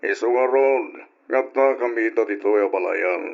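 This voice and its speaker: An actor playing a middle-aged father, a formal and insistent head of the family, speaking deliberately in an old radio drama on a lo-fi recording. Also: on the low side, creaky. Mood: firm, formal.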